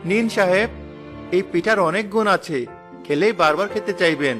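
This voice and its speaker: A high-pitched cheerful cartoon villager. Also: male voice, moderate pace, fairly bright tone, faint music behind. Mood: hawking. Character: cheerful, grateful village husband turned street food seller. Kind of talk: Bengali cartoon story voice acting.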